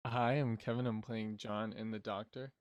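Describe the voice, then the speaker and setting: A man's voice: fairly low pitch, level tone, moderate pace, no echo, lo-fi sound. A man who works as a voice actor, chatting casually and teasing in a joking way. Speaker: voice actor guest. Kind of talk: casual chat.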